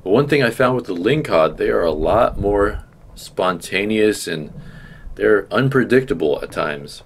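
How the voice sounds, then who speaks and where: Male vlogger, deep voice, eager explainer, faint hiss. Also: lively intonation, a little slow. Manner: enthusiastic, explains as he goes. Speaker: fishing vlogger. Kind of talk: casual voice-over narration.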